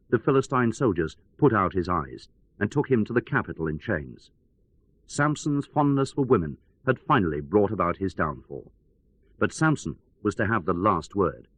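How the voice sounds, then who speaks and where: A man's voice: on the low side, lively intonation, moderate pace, a bit bright, no echo. A man playing a radio news correspondent, telling events as a dramatic, wry and emphatic report. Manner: wry, theatrical, emphatic storyteller.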